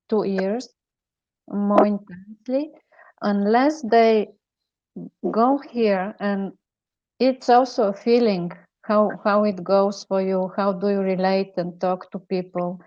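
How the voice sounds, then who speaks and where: A woman's voice: medium pitch, darkish tone. A woman hosting an online panel discussion, speaking hesitantly with many fillers. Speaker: host. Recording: lo-fi online call audio.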